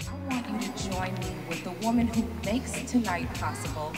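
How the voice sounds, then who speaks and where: A woman's voice: medium pitch, expressive, moderate pace. A woman emceeing a live event, playfully hyping the crowd as she introduces the next speaker. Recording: echoey hall voice from far off, music behind.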